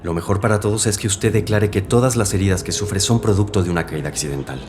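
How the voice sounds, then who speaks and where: An actor playing a brisk, coldly coercive forensic doctor in an audio drama, speaking with clinical authority. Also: male voice, on the low side, background noise, natural voice. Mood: quietly menacing.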